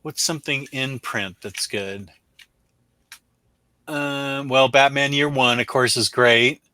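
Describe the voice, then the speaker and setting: A man's voice: medium pitch, slow pace, sharp tone, smooth, dry recording. A man hosting a comic book review show in casual livestream chat, rambling along in a self-deprecating way.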